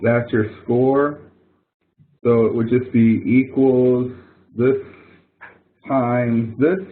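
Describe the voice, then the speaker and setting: Man teaching casually over a phone-like line. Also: medium pitch. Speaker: instructor leading an online help session. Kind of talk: casual screen-share walkthrough of a spreadsheet exercise. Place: online web conference. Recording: muffled narrow phone-like audio.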